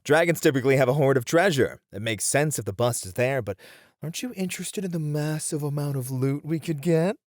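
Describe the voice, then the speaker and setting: A man's voice: on the high side, fairly quick, dry recording, studio quality, close to an everyday voice. A young man playing a confident, snarky warrior in a fantasy roleplay audio drama, reasoning and teasing a rival dryly. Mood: persuasive, teasing.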